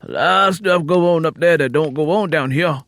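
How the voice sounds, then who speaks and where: A man's voice: fairly high pitch, fairly bright tone, dry recording, clean studio sound, pitched above the performer's own voice. A man voicing a worldly, plain-spoken travelling musician in an audiobook, telling things in folksy Southern US dialect. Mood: matter-of-fact. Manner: folksy, drawn-out storytelling in rural dialect. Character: easygoing male travelling harmonica player, plain-spoken and worldly. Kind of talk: acted audiobook character dialogue. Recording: music playing under the voice.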